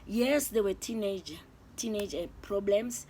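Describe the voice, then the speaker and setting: Older mom telling her story, slow and earnest, bit of faint noise. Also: medium pitch, warm tone. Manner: earnest, measured storytelling with pauses. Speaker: adoptive mother, interviewee. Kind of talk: casual family interview.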